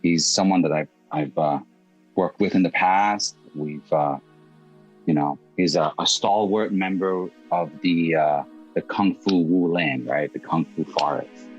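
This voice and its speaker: A man hosting a casual interview, introducing a guest in a friendly, halting way with stacked restarts. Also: sits low, soft background music. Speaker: podcast host. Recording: muffled lo-fi audio.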